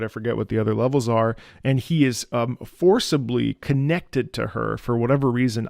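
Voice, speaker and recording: A man giving casual, analytical reaction commentary, thoughtful and often halting as he searches for words. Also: medium pitch, vocal fry, no room sound, clean studio sound. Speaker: music reaction host, opera singer.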